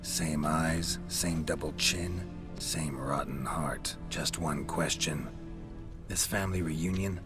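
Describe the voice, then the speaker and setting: A gruff monster hunter from a video game, speaks in a very deep, unhurried growl. He is dry, terse and sardonic, with music playing clearly behind him. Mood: dry, unimpressed. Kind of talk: video game cutscene acting.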